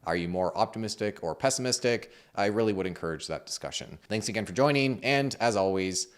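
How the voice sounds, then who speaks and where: A man hosting a finance channel, explaining the market in a measured, neutral way while hoarse and losing his voice. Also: lowish voice, expressive. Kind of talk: explainer commentary.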